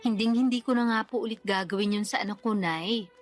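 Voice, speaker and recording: A woman's voice: mid-range voice, brisk, soft background music, natural voice. A young woman playing a daughter and young mother in a radio drama, polite, earnest and pleading. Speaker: daughter character.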